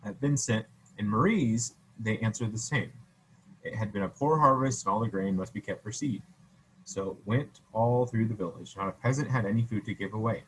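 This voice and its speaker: A man's voice: on the low side, normal speed, a little hiss or hum, low-quality recording. A man reading a children's story aloud in a casual way, often stumbling and correcting himself. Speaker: reader.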